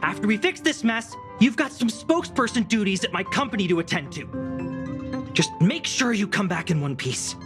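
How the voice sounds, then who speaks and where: A woman's voice: mid-range voice, lively intonation, normal speed, loud music behind the voice, natural voice. A woman voicing a proud, flustered young video game character who is huffy and dramatic and hides affection. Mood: flustered, resigned.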